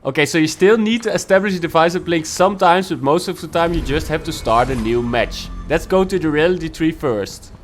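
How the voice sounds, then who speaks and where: A man's voice: fairly high pitch, fairly quick, few pauses, faint music behind. A man hosting a gaming guide and explaining the steps of a walkthrough in a matter-of-fact way. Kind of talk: game walkthrough narration.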